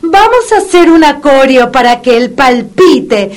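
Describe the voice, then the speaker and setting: A woman's voice: high-pitched, expressive, measured pace. A woman acting in a comedic radio play as a bossy, exuberant dog whisperer with a formal, emphatic, cajoling manner. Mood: formal, assured.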